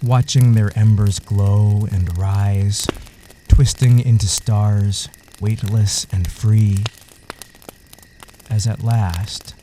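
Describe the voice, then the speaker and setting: A man narrating a literary audio story in a measured, solemn way. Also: on the low side, steady tone, noisy background.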